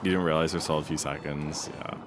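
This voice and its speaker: A slightly hoarse young man streaming a speedrun, chatting casually and rambling with lots of fillers. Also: very deep voice, level tone, fast. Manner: rambling, laid-back, many fillers. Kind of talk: casual live-stream chat. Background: game music.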